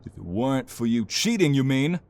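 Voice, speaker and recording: A man's voice: medium pitch, very animated, brisk, a little mellow. A man playing a defiant, wounded mobster who protects the woman he loves, strained and emphatic in an audio roleplay. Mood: scornful. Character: defiant, wounded young male mobster protecting his girlfriend. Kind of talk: audio roleplay drama acting.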